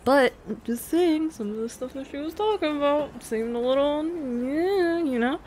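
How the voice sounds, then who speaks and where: A woman's voice: high voice, a little slow, a little mellow. A woman playing a playful, affectionate secret girlfriend in an intimate roleplay audio, hesitant and trailing off as she teases. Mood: teasing. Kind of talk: intimate roleplay audio, casual improvised-sounding chat. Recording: noticeable cafe ambience noise behind.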